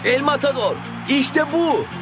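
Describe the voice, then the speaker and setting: An actor voicing an earnest young football hero in a cartoon dub. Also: male voice, very high voice, lively intonation, slow, heavily acted. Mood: puzzled. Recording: music behind the voice.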